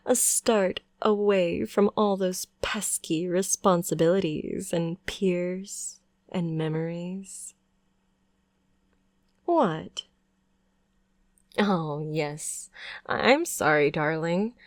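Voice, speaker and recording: A woman voicing a doting, possessive witch in an intimate ASMR roleplay, speaking in a coaxing, softly condescending way. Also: on the low side, no echo, clean studio sound. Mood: soothing, coaxing. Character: doting, possessive yandere witch, sweetly sinister. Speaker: ASMR voice performer.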